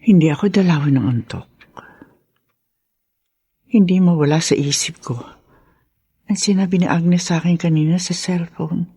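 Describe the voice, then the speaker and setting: An actress playing an anxious older mother brooding in a slow Filipino radio drama monologue. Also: on the low side, warm tone, slightly rough, dry recording, clean studio sound. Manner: brooding, hesitant inner monologue. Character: anxious older mother-in-law brooding over her daughter's marriage. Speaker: drama actor.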